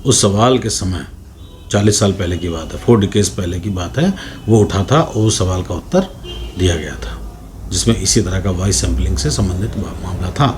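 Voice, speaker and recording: A man's voice: low voice, animated, normal speed, noisy background. A man giving an explanatory legal lecture in a didactic manner, restating points for emphasis and mixing in English legal terms. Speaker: law lecturer.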